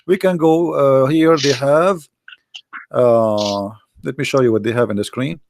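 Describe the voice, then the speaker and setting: A man hosting a talk show, mocking and sarcastic, going hoarse as he loses his voice. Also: mid-range voice, a little slow, a little mellow, slight background noise. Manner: mocking, sarcastic, with a non-native grammar. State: losing his voice. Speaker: host.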